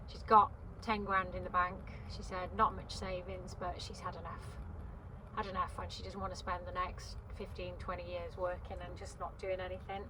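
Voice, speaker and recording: A woman's voice: fairly low pitch, little pitch movement, normal speed. A woman in her fifties, originally from Wales, chatting casually to camera from inside her parked van, rambling with lots of ums and restarts. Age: 50s. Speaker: vlogger. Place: inside a parked van by a river on a windy day. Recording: wind noise in a parked van. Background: gusting wind outside.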